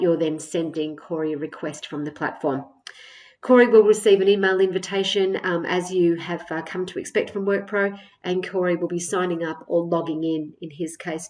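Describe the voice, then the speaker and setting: A woman presenting a software tutorial as a step-by-step walkthrough, explaining in a measured way with frequent hesitations. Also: on the low side. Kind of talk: screen-share product walkthrough, semi-scripted narration.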